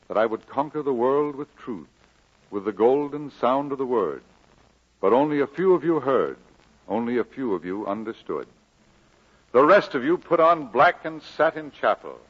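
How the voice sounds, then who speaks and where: A man's voice: mid-range voice, very animated, a little slow. A man acting an embittered preacher in an old radio drama, solemn and oratorical as he rebukes his congregation. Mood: indignant, bitter. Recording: dull old 1940s radio recording.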